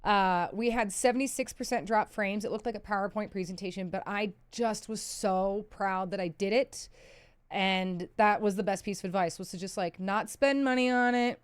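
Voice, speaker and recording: A woman's voice: medium pitch, moderate pace, clear, resonant tone, no echo. A woman from the chats casually on a livestream, answering questions in a candid, self-deprecating, sweary way. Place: indoors, seated at a PC.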